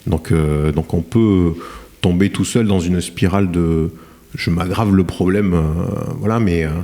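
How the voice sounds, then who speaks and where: A man's voice: low voice, a little slow, mellow. A middle-aged French man talking about his career in a podcast interview, in a hesitant way with many fillers and restarts. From France. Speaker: guest, cement plant director. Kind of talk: podcast interview, reflective storytelling.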